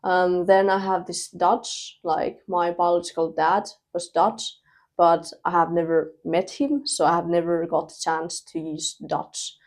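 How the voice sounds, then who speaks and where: A young woman, chatting as a podcast guest, relaxed and pausing with fillers. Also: on the low side. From Finland. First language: Thai. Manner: relaxed, laughs between thoughts, pauses with fillers. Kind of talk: casual interview chat.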